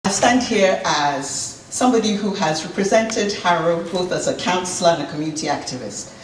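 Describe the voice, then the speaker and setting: A woman's voice: on the low side, expressive, a little fast. A middle-aged woman candidate giving an earnest, passionate campaign speech at a local debate. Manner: earnest, passionate, repeats herself. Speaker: election candidate. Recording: roomy hall, distant mic, faint noise.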